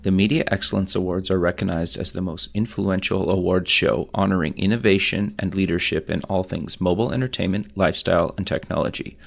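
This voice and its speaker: Gravelly-voiced male podcast host. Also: low voice, fairly even intonation, a little fast, hardly any pauses. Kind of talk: podcast interview with sponsor reads.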